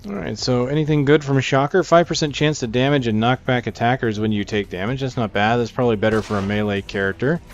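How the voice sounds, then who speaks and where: A man's voice: medium pitch, fast, few pauses, muffled recording. A man giving casual gameplay commentary, thinking aloud as he plays. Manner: thinks aloud while playing. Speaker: gameplay video host. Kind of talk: casual video game commentary. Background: game music behind the voice.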